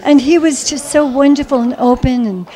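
A woman's voice: high-pitched, fairly even intonation, normal speed, dark tone. A middle-aged woman interviewing honorees at an indoor gala press wall, asking upbeat, affirming questions into a handheld mic. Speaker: red carpet interviewer. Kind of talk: red carpet interview.

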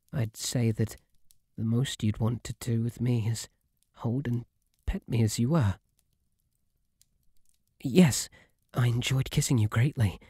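A man with a British accent voicing a shy, devoted, submissive bunny butler in an intimate ASMR roleplay, soft, breathy, halting and deferential. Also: mid-range voice, no room sound, studio quality. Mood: bashful longing.

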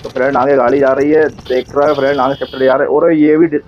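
A man's voice: mid-range voice, level tone, fast, dull audio, a little hiss or hum. A man with a central Indian accent giving casual, chatty running commentary as a motovlogger while riding, often repeating himself and addressing viewers as friends. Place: outdoors on a motorbike on a road.

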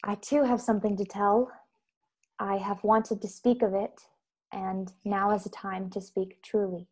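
A woman in her twenties playing an earnest, proud secret-society member in a science-fiction radio play, speaking in measured, formal phrases. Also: mid-range voice, level tone, darkish tone, husky, poor audio. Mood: earnest, firm. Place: bedroom on video call. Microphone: earbud headset.

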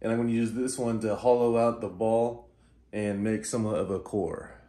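A man's voice: on the low side, steady tone, normal speed, warm tone, slight background noise. A man in his thirties hosting a vlog in an informal, matter-of-fact way, recorded in a living room. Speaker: video host. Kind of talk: casual explainer vlog.

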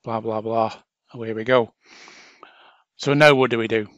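A man narrating a step-by-step software tutorial in an informal, chatty way, talking through each action as an instructor. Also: lowish voice, steady tone, normal speed, no echo, lo-fi sound. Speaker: training video instructor.

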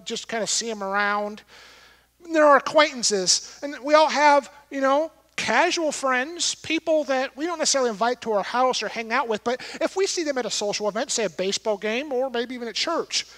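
A man's voice: very high-pitched, a bit bright. A middle-aged man preaching a sermon from a church stage, talking conversationally and asking his listeners questions. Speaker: pastor. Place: large church stage with an audience.

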